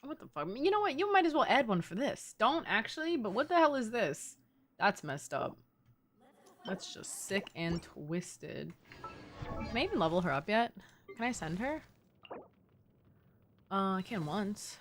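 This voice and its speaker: A woman streams a video game with a very animated, swooping voice. She comments casually and excitably, swearing freely as she goes. There is slight background noise with clicks and game sounds. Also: medium pitch, a little slow, pauses often. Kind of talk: casual live gaming commentary with friends.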